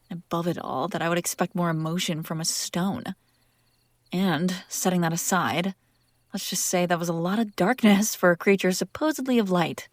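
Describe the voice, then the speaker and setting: A woman's voice: lowish voice, dry recording, natural voice. A woman playing a possessive, sardonic and curious female demon in an intimate, wry audio roleplay spoken to the listener. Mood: sardonic, probing. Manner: teasing, wry, conversational, breaking into hushed whispers.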